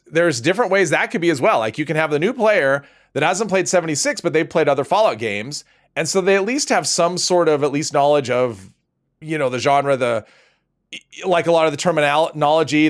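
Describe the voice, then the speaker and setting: A man hosting a casual game livestream, greeting viewers by name and chatting as he plays, a little sniffly. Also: a little high. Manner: chatty, reads out viewer names, laughs easily. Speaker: game streamer.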